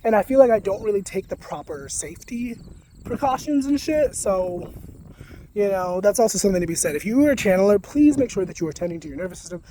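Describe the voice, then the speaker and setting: A young man talking casually to camera in a soft-spoken, rambling way, full of "like". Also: high-pitched, lively intonation, quick pace, slight background noise, low-quality recording. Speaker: spiritual vlogger.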